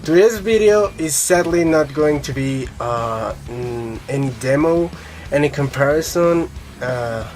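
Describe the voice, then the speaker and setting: A man's voice: on the high side, expressive, measured pace, a little mellow, faint music behind. Colombian-accented young man rambling casually. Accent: Colombian Spanish. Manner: rambling, lots of fillers, swears casually. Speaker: guitar vlogger. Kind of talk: casual rambling monologue to camera.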